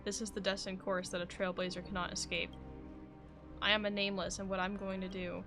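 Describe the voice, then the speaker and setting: An actress voicing a determined young heroine in a video game, speaking in a resolute, declarative way. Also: female voice, medium pitch, level tone, a little fast, close to an everyday voice. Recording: game audio under music.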